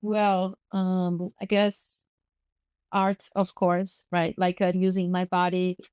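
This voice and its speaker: Brazilian woman on a podcast, kinda low smooth voice, dry sound, lots of ums. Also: monotone, takes it slowly, a little mellow, dry recording. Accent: Brazilian Portuguese. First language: Portuguese. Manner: thoughtful, searching for words, many fillers. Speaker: guest artist and activist. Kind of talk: podcast interview.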